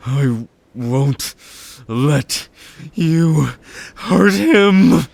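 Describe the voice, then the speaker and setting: A man's voice: on the high side, expressive, extremely slow, vocal fry, a little mellow, dry recording, exaggerated voice. A man playing a timid, loyal young half-merman in a fantasy audio drama, stammering and pleading with emotion. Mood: desperate, defiant.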